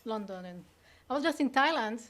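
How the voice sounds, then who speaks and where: Fast-talking rambling woman. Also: fairly high pitch, expressive, fairly bright tone. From Israel. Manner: rambling, chatty, self-interrupting. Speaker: audience member introducing herself. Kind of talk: casual self-introduction.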